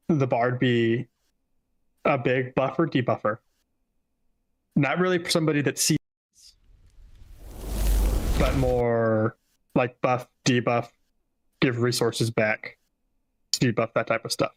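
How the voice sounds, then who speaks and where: A man co-hosting a casual gaming discussion, giving his views in an emphatic, opinionated way. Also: medium pitch. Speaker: gaming show co-host.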